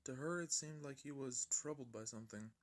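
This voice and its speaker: A man's voice: medium pitch, a little fast. A man narrating a fan fiction story in an amateur style, often stumbling and rereading his lines.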